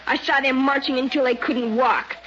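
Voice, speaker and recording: A woman's voice: high-pitched, steady tone, moderate pace, fairly bright tone. A woman playing an earnest, stubborn young boy in a radio drama, pleading and insistent. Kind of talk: old time radio drama acting. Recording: dull lo-fi radio recording, faint noise.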